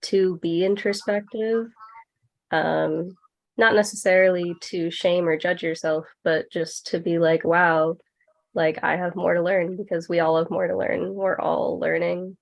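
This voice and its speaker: A woman with a lowish, gravelly voice speaks very slowly on an online workshop call. She is reflective and halting, pausing often as she talks informally. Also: little pitch movement. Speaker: workshop panelist. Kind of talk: informal reflective panel talk.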